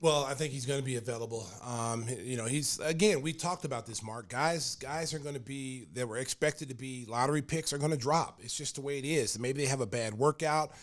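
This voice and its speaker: Animated laughing storyteller man. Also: medium pitch, fairly quick, few pauses. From Oklahoma. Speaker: podcast host, former pro basketball player. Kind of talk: casual sports talk and storytelling.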